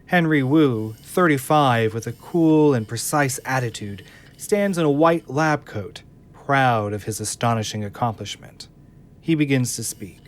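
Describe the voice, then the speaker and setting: A man's voice: medium pitch, a little slow. A man narrating an audio drama, reading scene descriptions from a screenplay in a scripted style.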